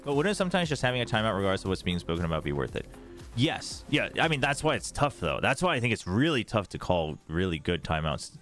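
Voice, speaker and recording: A man's voice: lowish voice, big pitch swings, fast talker, a bit bright, faint music behind. A man with an American East Coast accent chatting informally as he hosts a casual esports livestream. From United States. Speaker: esports watch party host and analyst. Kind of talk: casual livestream commentary and chat.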